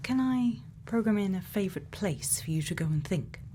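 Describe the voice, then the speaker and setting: A woman playing a quirky, gently probing scientist in a soft-spoken ASMR roleplay, murmuring unhurried questions and sometimes half whispering. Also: medium pitch, lively intonation, slight background noise, lightly acted. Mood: calm, attentive. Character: quirky, gently probing female scientist programming a robot's memories.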